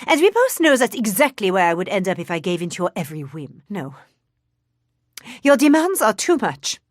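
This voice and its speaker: A woman's voice: mid-range voice, sing-song, moderate pace, dry recording, clean studio sound, close to an everyday voice. A woman voicing a cruel, long-lived aristocratic lady in a game's visual novel, imperious and theatrical, with dryly threatening sarcasm. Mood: haughty, taunting. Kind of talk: dramatic voice acting for a game visual novel.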